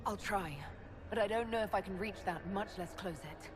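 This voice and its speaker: A woman's voice: mid-range voice, normal speed, over music, lightly acted. An actress playing a plain-spoken, determined female elf hero in a video game. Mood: doubtful.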